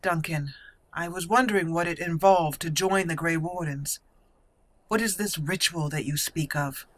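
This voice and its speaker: A woman voicing a guarded young female mage recruit in a fantasy game roleplay, speaking formally and deliberately. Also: on the low side, close to an everyday voice. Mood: guarded, questioning.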